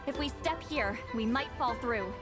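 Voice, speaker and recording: A woman's voice: fairly high pitch, normal speed, over loud music, close to an everyday voice. A woman voicing a proud, testy shaman in a video game, brusque and authoritative. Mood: serious, instructive. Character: testy, proud female shaman, a heavy drinker in denial.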